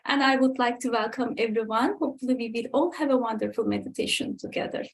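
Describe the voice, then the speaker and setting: Woman, slightly high voice, hesitant, many fillers, video call. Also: fast talker. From Turkey. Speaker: presenter. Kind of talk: casual self-introduction.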